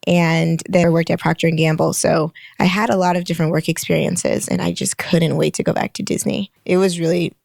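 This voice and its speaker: A woman in her late twenties talks through her engineering career as a podcast guest, explaining things patiently and laughing easily. Her voice sits low with a gravelly fry, and the recording is close and dry. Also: fairly even intonation, fairly quick, few pauses, a little mellow, no echo. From Michigan, United States. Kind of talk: conversational interview.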